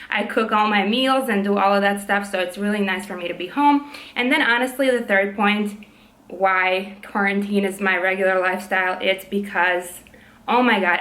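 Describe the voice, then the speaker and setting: A young woman with a naturally loud voice, chatting casually at home while doing her nails, frank and fond of swearing, full of likes and ums, holding her voice down. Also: mid-range voice, roomy sound. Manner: frank, swears freely, many "like" and "um" fillers; speaking softly to keep quiet. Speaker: vlogger, PhD student. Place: room at home.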